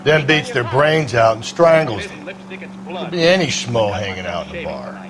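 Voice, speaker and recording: An actor playing a blunt, cynical 1940s American police detective in a video game, talking in wry street slang. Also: male voice, mid-range voice, big pitch swings, a little slow, a crowd in the background, low-quality recording. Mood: blunt, forceful. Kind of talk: video game crime drama acting. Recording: music behind the dialogue.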